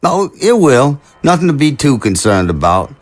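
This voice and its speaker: An actor playing an affable, diplomatic zombie president with a folksy, slightly hesitant manner in an audio drama. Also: male voice, mid-range voice, big pitch swings, moderate pace. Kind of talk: dramatic dialogue acting.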